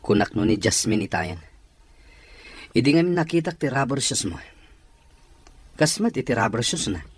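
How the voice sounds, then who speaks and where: A man acting a demanding office boss with a secret soft spot, in an audio drama. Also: medium pitch, big pitch swings, moderate pace, long pauses, breathy edge. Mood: stern. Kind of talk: Ilocano radio drama acting.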